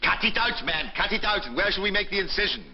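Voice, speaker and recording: A pompous, bullying senior surgeon barks orders and lectures his juniors. His voice sits fairly high and swoops with lively pitch. The sound is a dull old film soundtrack with faint music behind it. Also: quick pace, a little hiss or hum. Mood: lecturing.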